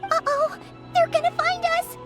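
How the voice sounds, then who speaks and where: Squeaky, excitable tiny sidekick. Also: female voice, a little slow. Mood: alarmed, relieved. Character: tiny excitable childlike sidekick, easily alarmed. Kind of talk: video game voice acting. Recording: loud music underneath.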